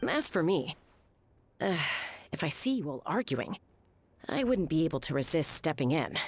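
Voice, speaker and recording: A woman's voice: deep voice, very animated, moderate pace, a little hiss or hum. A woman voicing a cool, capable and decisive female warrior in a video game. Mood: matter-of-fact.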